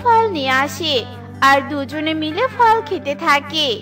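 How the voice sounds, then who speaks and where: Woman narrating kids story, super high bright voice, music in background. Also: expressive, unhurried. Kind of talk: scripted children's story narration.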